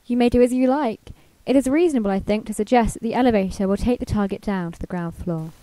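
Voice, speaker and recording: A woman playing a sardonic artificial intelligence in an amateur radio drama, speaking formally and deadpan. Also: on the high side, quick pace, no echo, close to an everyday voice. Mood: coolly matter-of-fact. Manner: formal, deadpan announcements with dry sarcasm. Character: sardonic female machine intelligence with a programmed personality. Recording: clearly noisy homemade recording with clicks.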